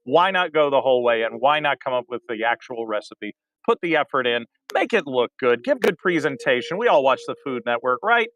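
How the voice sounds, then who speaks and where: Man, guest on a comedy talk stream, earnest with lots of restarts, mid pitch. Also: lively intonation, a little fast, few pauses, a bit bright, low-quality recording. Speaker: guest director. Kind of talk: casual debate chat.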